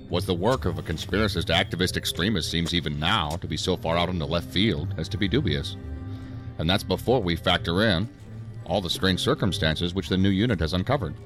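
An actor playing a stern, dry assistant director in a sci-fi audio drama, formal, measured and authoritative. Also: male voice, low voice, fairly bright tone. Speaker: senior law-enforcement official.

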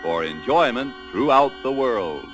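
A man reading a scripted sponsor message as a 1940s radio announcer, solemn, formal and measured. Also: medium pitch, lively intonation. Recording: 1940s radio recording with music.

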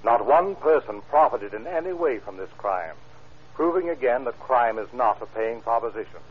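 A man, a county sheriff, reading a formal, measured scripted message on 1930s radio. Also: mid-range voice, expressive, slight background noise. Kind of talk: scripted message read aloud. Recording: muffled, tinny 1930s radio recording.

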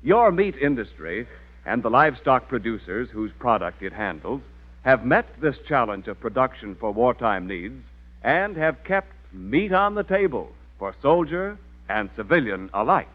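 A man's voice: mid-range voice, big pitch swings, normal speed. An American man reading a formal, emphatic scripted sponsor message as a 1940s radio announcer. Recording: very dull, narrow old radio recording.

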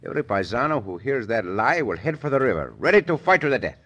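An actor playing a gallant, confident Mexican gunfighter hero with a Mexican accent in an old radio western. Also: male voice, medium pitch, big pitch swings, fast talker, a bit bright. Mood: calm, resolute. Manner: formal, gallant phrasing. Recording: very noisy old radio recording.

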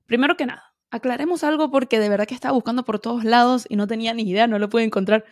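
A woman's voice: on the high side, fairly even intonation, rapid-fire, a little hiss or hum. A woman hosting a podcast, chatty and effusive with her praise. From Venezuela. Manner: gushing, effusive. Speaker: podcast host. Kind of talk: casual interview chat.

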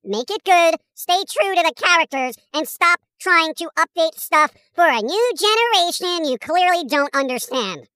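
A performer voicing a brash, excitable cartoon squirrel who talks emphatically and sarcastically in comedy podcast banter. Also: extremely high pitch, exaggerated voice. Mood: scornful ranting. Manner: emphatic, sarcastic, quick to exclaim.

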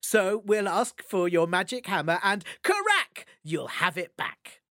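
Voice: mid-range voice, very animated, slow, fairly bright tone, dry recording, studio quality, heavily acted. A storyteller voicing Loki, a sly, mischievous male trickster god who coaxes and schemes with amused persuasion. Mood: persuasive.

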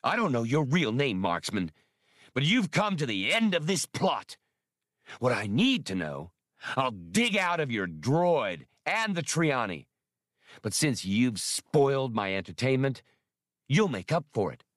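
A man's voice: medium pitch, sing-song, a little slow, fairly bright tone, no echo, clean studio sound. A man voicing a paranoid corporate boss, bellowing and ranting about a conspiracy.

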